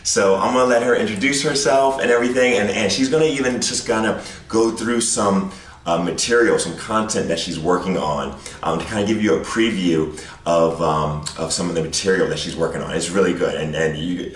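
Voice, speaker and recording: A man coaching speakers, introducing a new speaker in a halting, repetitive way. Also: sits low. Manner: halting, repeats words. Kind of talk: casual introduction. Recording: echoey room, far from mic.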